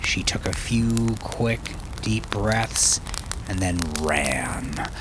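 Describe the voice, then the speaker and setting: A man narrating dark fiction in an intense, theatrical way, often sinking to a hushed murmur. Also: lowish voice, animated, very slow, background noise. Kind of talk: dramatic scripted fiction narration. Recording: loud music under the voice. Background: loud music.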